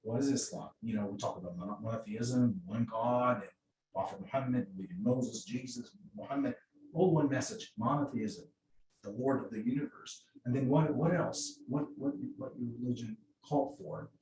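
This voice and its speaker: Halting man from Egypt, distant. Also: mid-range voice, darkish tone. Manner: halting, many restarts and fillers. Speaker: mosque outreach volunteer. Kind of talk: panel discussion. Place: event room. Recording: roomy distant sound with faint noise.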